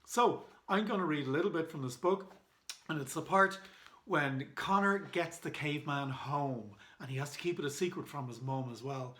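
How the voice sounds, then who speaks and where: A man's voice: fairly high pitch, normal speed, a bit bright. An Irish man reading aloud in a children's storytime style, as an author and illustrator. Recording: echoey room.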